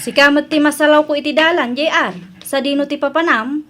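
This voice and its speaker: High-voiced gossipy Filipino auntie played by a woman. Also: fairly even intonation, brisk, a bit bright, faint music behind. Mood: nosy, mocking. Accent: Filipino (Ilocano). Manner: nosy, teasing. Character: gossipy, mocking village auntie. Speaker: radio drama actor. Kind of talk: Ilocano comedy radio drama acting.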